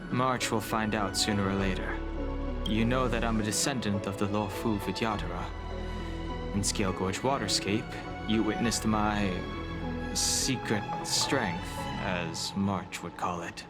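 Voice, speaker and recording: Man acting video game hero, young male exile, stoic, reserved, serious, low-pitched, slow deliberate pace. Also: steady tone, lightly acted. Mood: somber, reflective. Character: stoic young male exile burdened by his past life. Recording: music behind the voice.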